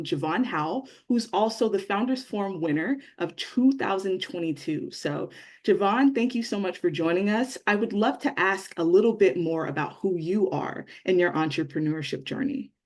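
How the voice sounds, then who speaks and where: A man's voice: high voice, moderate pace. A man hosting an online webinar, upbeat and welcoming as he introduces a guest. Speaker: webinar host. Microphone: video call.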